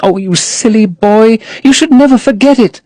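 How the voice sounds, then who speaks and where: A man's voice: high voice, moderate pace, a little mellow, no echo, pitched above the performer's own voice, lightly acted. A man reading aloud and voicing a young woman actress, dreamy and infatuated, who gushes rapturously. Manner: rapturous, gushing.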